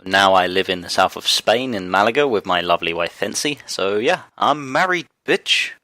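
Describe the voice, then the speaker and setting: Man, southeast English accent, lowish pitch, sharp bright tone, jokey introduction. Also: expressive, moderate pace, dry recording. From Kent, southeast England. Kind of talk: casual jokey self-introduction.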